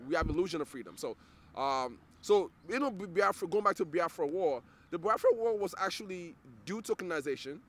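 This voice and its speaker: A man's voice: sits high, fairly bright tone, slight background noise. A man from Nigeria, an interview guest, talking earnestly and restarting words as he searches for them. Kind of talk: casual interview conversation.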